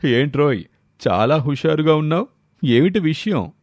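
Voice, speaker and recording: A man's voice: a little high, animated, normal speed, darkish tone, no room sound, studio quality. A man voicing a curious older male friend in a dramatised story, bantering and exclamatory. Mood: curious, amused.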